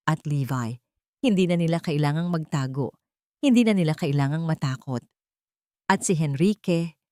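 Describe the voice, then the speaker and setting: A woman with a Filipino accent narrating a scripted dramatic story in an expressive, sentimental way. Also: on the low side, no room sound, studio quality. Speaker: audiobook narrator.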